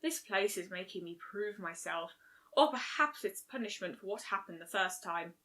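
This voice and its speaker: A regal pony princess from a storybook, acted out by a woman, weary and weighed down by guilt. She speaks formally and gravely, in measured phrases, lower than the narration around her. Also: expressive, fairly bright tone, natural voice. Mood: tense, regretful. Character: regal adult mare princess, weary, solemn, guilt-ridden. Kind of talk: fan fiction story reading.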